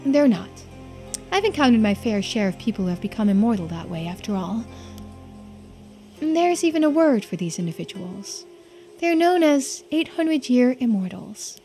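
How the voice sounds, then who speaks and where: A woman voicing a reserved, cryptic keeper of mermaid lore in a video game, composed and deliberate. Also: a little high, lively intonation, mellow, soft background music. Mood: cryptic, matter-of-fact.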